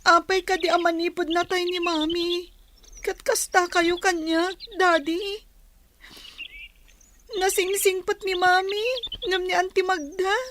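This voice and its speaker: A woman's voice: very high voice, little pitch movement, a little slow, long pauses, fairly bright tone, a little hiss or hum. A woman playing a young farm girl in a radio drama, pleading and whiny, with a Filipino accent. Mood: aggrieved. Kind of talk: Ilocano radio drama acting.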